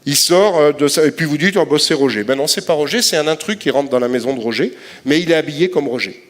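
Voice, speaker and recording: A man's voice: fairly high pitch, fast talker. French man lecturing in a reverberant hall. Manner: explanatory, uses everyday metaphors, frequent "hein" and "euh". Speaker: scientist giving a lecture. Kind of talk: public science lecture with slides.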